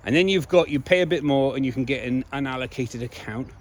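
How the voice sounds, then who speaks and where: Male vlogger, slightly hoarse voice, emphatic and informal, mid-pitched, recorded outdoors in town. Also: fast talker, slight background noise. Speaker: video blogger. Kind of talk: casual monologue to viewers.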